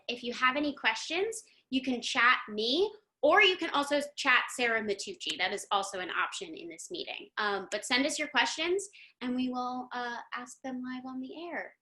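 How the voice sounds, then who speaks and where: A woman hosting a live online interview in an effusive, excited, chatty manner over a video meeting. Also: high-pitched. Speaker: host.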